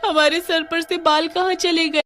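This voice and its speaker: A woman's voice: extremely high pitch, little pitch movement, normal speed, fairly bright tone, pitched above the performer's own voice. A woman voicing an indignant, distressed young village wife in a cartoon horror story, with dramatic, complaining delivery. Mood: alarmed. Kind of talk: acted cartoon dialogue.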